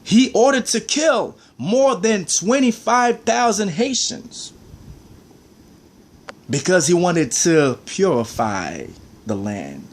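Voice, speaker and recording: Guy ranting into the camera, high voice that jumps all over, preachy and heated. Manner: heated, preachy, repeats "man" and "I mean". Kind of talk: ranting monologue.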